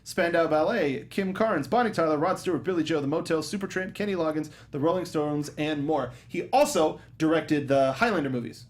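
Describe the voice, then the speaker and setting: A man with a slightly high voice talks as a host on a review panel. He chats casually and critically, with animated exasperation as he picks things apart. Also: brisk, few pauses. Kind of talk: casual film review discussion.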